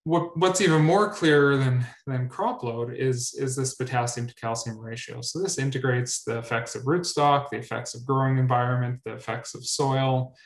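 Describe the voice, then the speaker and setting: Young male researcher, mid-pitch, webinar delivery, stumbles and repeats, roomy webcam sound. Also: steady tone, moderate pace, few pauses. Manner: repeats words often, many ums. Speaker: researcher presenting at a grower meetup. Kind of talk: webinar presentation. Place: indoor room. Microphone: webcam on video call. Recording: roomy echo.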